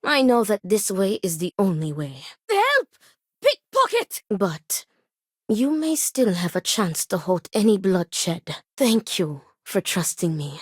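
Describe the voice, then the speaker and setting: Game casting: stern, weary, principled woman, formal diction, very animated pitch, dry close sound. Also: husky, no room sound, exaggerated voice. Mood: solemn, earnest, then alarmed, shouting. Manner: formal, measured, stern phrasing without contractions. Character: grave, principled woman acting as duel second, devout, weary. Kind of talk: video game character dialogue.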